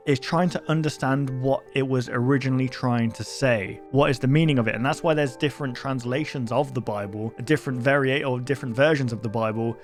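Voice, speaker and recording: A man giving casual reaction commentary as a video host, thinking aloud in a puzzled, questioning, rambling way. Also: mid-range voice.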